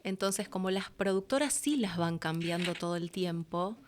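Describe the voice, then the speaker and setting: A woman's voice: on the low side, normal speed, a little hiss or hum. A woman with an Argentine Spanish accent talking casually and expressively as an interview guest. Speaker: interview guest, voice actress and producer. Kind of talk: casual conversational interview talk.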